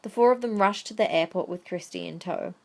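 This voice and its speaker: A woman's voice: fairly low pitch, a little fast, creaky, darkish tone. A woman reading scripted third-person story narration as the narrator of an audio drama.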